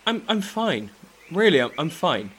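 A man's voice: on the high side, big pitch swings, unhurried, a bit bright, slightly rough, heavily acted. A man playing an awkward, earnest half-elf adventurer in a fantasy audio drama. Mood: flustered, apologetic.

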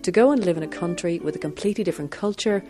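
A woman narrating a radio documentary in a measured, lightly amused storytelling manner. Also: on the low side. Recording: music bed under voice.

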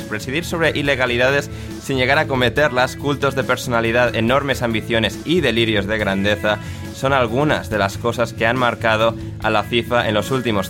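Chatty podcast host. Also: male voice, fairly low pitch. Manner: chatty, rambling with fillers and restarts. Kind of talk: casual podcast interview.

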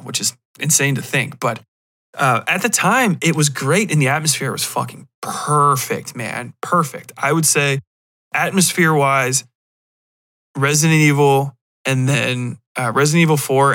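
A man's voice: mid-range voice, fairly bright tone. A man hosting a podcast, talking casually and discursively about video games, swearing freely and using lots of slang. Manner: profane, slangy, halting and discursive. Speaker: podcast host. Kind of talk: casual rambling video game review.

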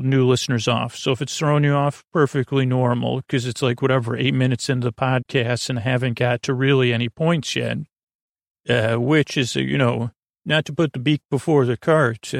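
A man hosting a bedtime podcast in a meandering, halting, stammering monologue full of whimsical, mock-earnest tangents. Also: mid-range voice, vocal fry, no echo, studio quality. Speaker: podcast host.

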